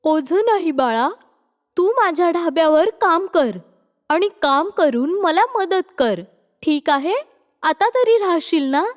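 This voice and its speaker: A woman's voice: very high-pitched, lively intonation, takes it slowly, heavily acted. A kindly middle-aged eatery owner from a cartoon fable, acted by a woman. She sounds motherly and consoling. Her words ring with a long reverb. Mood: reassuring. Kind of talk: cartoon moral story voice acting.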